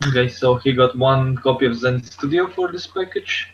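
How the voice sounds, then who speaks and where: A man's voice: medium pitch, moderate pace. A man co-hosting a casual tech podcast over an online call, talking in loose, repetitive phrases. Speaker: podcast co-host. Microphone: online video hangout. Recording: dull lo-fi online call, faint noise.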